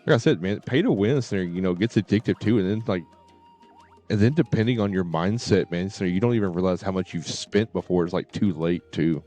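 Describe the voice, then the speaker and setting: A man's voice: low-pitched, fairly quick, dark tone, faint music behind. A man streaming games and chatting casually in a hesitant, rambling way. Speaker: game streamer. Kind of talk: casual livestream chat.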